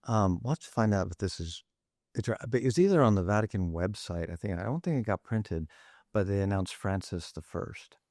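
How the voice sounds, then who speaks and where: Thoughtful low-voiced man, halting. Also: animated, a little mellow, no echo, studio quality. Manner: thoughtful, halting, many restarts. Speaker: podcast panelist. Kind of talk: casual discussion. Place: studio in Rome.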